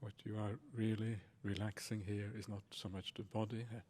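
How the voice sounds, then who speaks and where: A man leading a guided meditation as a teacher, speaking in a soothing, unhurried and reassuring manner. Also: on the low side, flat delivery, a little mellow, slight background noise. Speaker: Buddhist monk and meditation teacher.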